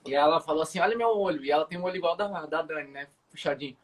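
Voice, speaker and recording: A man, hosting a morning Bible live stream, relaxed and chatty, often restarting sentences. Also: a little high, rapid-fire. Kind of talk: casual chat, prayer and Bible commentary. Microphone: phone or webcam for a live stream.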